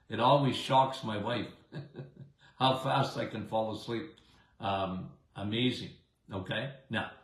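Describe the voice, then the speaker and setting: Slow chatty man with a big voice. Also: medium pitch. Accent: Canadian. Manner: chatty, rambling, says "okay?" and "guys" often. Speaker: health show host. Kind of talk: casual live health talk. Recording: roomy echo.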